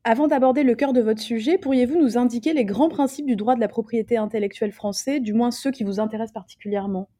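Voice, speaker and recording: Woman interviewer, kinda high mellow voice, formal polite prepared questions. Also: quick pace, few pauses. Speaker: podcast interviewer.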